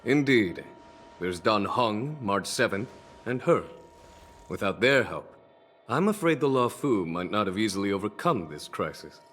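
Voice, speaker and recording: An actor playing a calm, courteous male general and mentor who speaks in a measured, diplomatic way, in a game cutscene. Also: on the low side, a little hiss or hum. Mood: composed.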